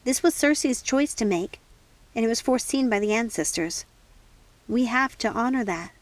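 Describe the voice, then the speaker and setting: A woman voicing gentle, motherly witches in a storybook, tender, measured and sadly resigned. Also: on the high side, animated, dry recording, close to an everyday voice.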